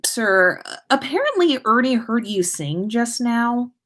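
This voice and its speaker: A woman's voice: a little high, sing-song, takes it slowly, fairly bright tone, voice pushed up, heavily acted. A woman voicing an earnest, easily put-out schoolboy wizard hero with a hesitant, grumbling manner, in a storybook reading. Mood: tactful, hesitant. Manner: hesitant, grumbling, indignant when slighted.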